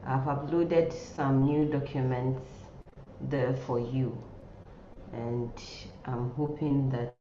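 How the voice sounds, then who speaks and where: A woman giving an informal online nursing lecture in a halting way, often repeating words and phrases. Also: extremely low pitch, fairly even intonation, warm tone. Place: indoor room, online class. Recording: muffled, roomy sound with faint noise.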